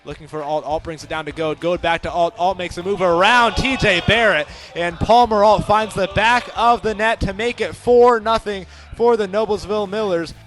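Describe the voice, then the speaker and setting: A young American man giving live radio sports commentary, moving between measured description and excited, shouted calls. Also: sits high. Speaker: play-by-play sports announcer.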